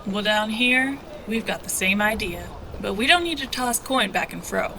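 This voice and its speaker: A woman's voice: medium pitch, lively intonation, moderate pace, pushed and bright, slight background noise, low-quality recording. A woman playing a brash, sly smuggler and streetwise guide in an audio drama, talking in slangy, taunting, irreverent lines. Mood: knowing.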